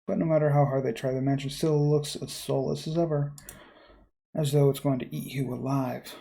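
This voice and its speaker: Man, creaky voice, mellow tone, measured theatrical narration, horror visual novel read aloud. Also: medium pitch, fairly even intonation. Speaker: let's player reading aloud. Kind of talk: dramatic first-person story narration.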